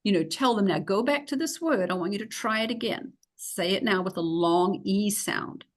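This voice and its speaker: A woman's voice: medium pitch, expressive, moderate pace. Woman, New Zealand accent, middle-aged, teacher trainer, practical explanations, frequent fillers, webcam video call. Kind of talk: recorded lecture with slides.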